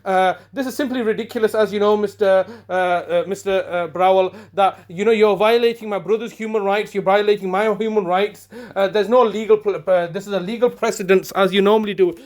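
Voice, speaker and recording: A man leaves an indignant, accusatory voicemail, halting often on fillers and restarts. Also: sits high, fairly even intonation. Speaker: caller leaving a daily voicemail. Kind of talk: angry one-sided phone message.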